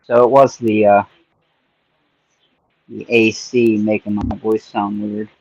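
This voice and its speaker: A man's voice: on the low side, flat delivery, slow, darkish tone, highs cut off, a little hiss or hum. A man hosting a casual livestream, joking and chatting to himself while he picks locks. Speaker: livestream host. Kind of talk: casual chat while lockpicking.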